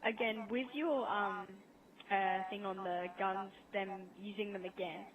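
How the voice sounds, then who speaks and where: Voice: medium pitch, steady tone, measured pace, highs cut off, crowd noise. A guest chatting casually in a gaming discussion. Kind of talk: casual chat. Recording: faintly noisy voice chat.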